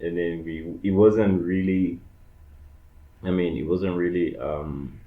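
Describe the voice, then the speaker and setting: A man's voice: sits low, fairly even intonation, takes it slowly. A young man from Congo chatting as a podcast co-host, rambling and filling his talk with "like" and "you know". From Democratic Republic of the Congo. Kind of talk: casual chat. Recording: echoey room, dull lo-fi sound.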